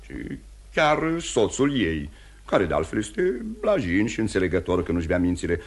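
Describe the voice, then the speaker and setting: A kindly older gentleman in a radio play. His voice is low and husky, with expressive swings, yet he stays measured and courteous as he gently admonishes. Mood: reproachful, persuasive. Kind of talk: theatrical radio drama acting.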